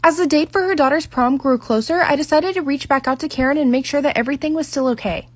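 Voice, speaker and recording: A woman's voice: high voice, fast talker, dry recording. A woman narrating a scripted first-person storytime in an orderly, articulate way with full sentences. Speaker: makeup artist storyteller.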